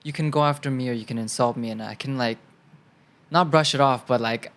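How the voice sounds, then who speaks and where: Young thai guy chatting in english, laid back, lots of "like" fillers, mid pitch. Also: fairly quick. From Thailand. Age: young adult. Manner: relaxed and chatty, thinks aloud with many fillers. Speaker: guest actor. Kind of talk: casual interview answers.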